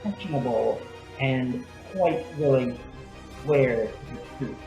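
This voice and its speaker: Female radio-drama announcer: theatrical, unhurried, big swoops in pitch, very echoey room. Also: lively intonation. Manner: theatrical, deliberate. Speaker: announcer and narrator. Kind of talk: dramatic scripted radio introduction. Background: music.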